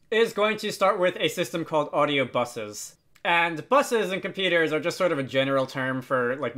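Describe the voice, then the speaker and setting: A man presenting an informal software tutorial, talking off the cuff and often correcting himself as he demonstrates. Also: fairly high pitch. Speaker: tutorial video host. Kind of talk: unscripted software tutorial narration.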